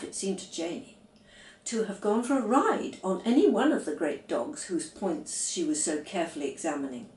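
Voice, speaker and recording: A woman reading a children's story aloud in a measured, expressive way. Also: medium pitch. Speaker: audiobook reader. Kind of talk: scripted children's story narration. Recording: echoey room.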